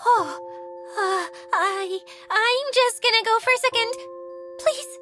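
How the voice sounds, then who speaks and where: A woman's voice: very high voice, slow, over music. A woman voicing a modest, easily flustered young woman in a video game, with a self-deprecating manner. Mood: bashful. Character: bashful, modest young woman who sews.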